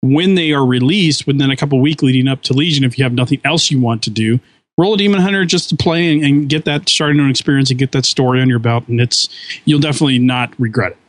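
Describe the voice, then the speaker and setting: A man's voice: mid-range voice, fast talker, dry recording. A man on a gaming podcast, talking at length and restarting his sentences. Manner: talkative, many restarts and fillers. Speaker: podcast co-host. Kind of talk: casual chat.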